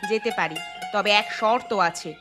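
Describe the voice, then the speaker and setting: A woman's voice: mid-range voice, a little slow, close to an everyday voice. A woman voicing a stern, distrustful mother in a cartoon, with a scolding, emphatic manner. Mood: skeptical, firm. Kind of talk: Bengali cartoon story dialogue. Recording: music behind the voice.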